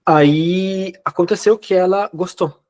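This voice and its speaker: Brazilian man telling his story, relaxed. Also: sits high, slow. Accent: Brazilian Portuguese. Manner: relaxed storytelling with fillers and quoted dialogue. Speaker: guest. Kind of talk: casual interview chat.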